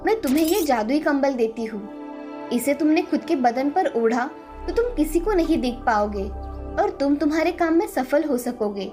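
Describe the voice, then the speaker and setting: A woman voicing a kindly, magical fairy queen in a children's story, gracious and measured. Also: sits high, lively intonation, dark tone, faint music behind. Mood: benevolent.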